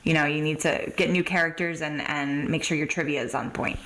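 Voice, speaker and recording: Informal, lower-voiced woman podcast host. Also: steady tone, a little fast, a bit bright, poor audio. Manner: informal, chatty. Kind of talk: casual interview chat.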